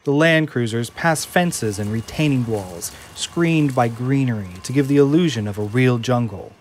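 A man's voice: medium pitch, normal speed, a little mellow, a little hiss or hum. A man reading the scene directions of an audio drama as narrator.

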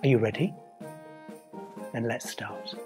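A man reading a children's story aloud in an expressive, dramatic and deliberate way. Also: mid-range voice, music in the background. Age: 50s. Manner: animated, dramatic, deliberate, addressing young listeners.

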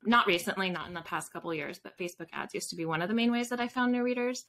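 Bright-voiced woman, casual interview guest. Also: medium pitch, quick pace. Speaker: podcast guest, author. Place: home room with bookshelves. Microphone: video call.

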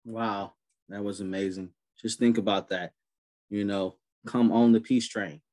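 A man's voice: fairly low pitch, measured pace. A man in his thirties hosting an online prayer event, speaking casually with many fillers over a webcam. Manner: relaxed, many fillers and restarts. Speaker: event host. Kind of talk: casual moderating on a video call. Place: indoor room on a video call. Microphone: webcam on a video call.

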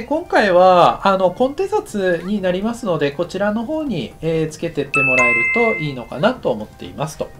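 Man, middle-aged, anime director teaching, relaxed and talkative, animated intonation, faint background music. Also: high voice. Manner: relaxed and chatty, many fillers, lively rising and falling delivery. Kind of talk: explanatory screen-share lecture.

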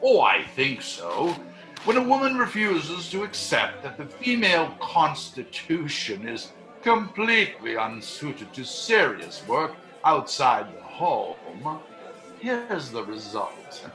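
Casting: older actor as theatrical villain doctor, animated and higher-pitched, smugly pompous, radio mystery. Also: male voice, takes it slowly, soft background music, full character voice. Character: pompous, scheming, chauvinist male doctor villain. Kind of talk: period radio play acting. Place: at home on a video call. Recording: roomy home video-call sound.

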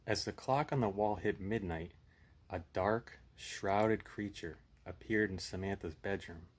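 A man's voice: low voice, measured pace. A man in his thirties hosting a puppet show, chatting casually and reading a horror story aloud.

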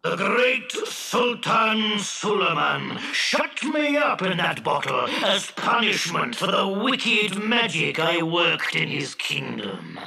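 Slow, gravelly, booming wrathful giant genie. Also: male voice, big pitch swings, bright, forceful tone, slight background noise, full character voice. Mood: bitter. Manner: slow, bellowing, theatrical delivery. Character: enormous wrathful male genie, booming and vengeful. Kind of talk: children's storybook character voice. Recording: echoing, distant-sounding reverberant voice.

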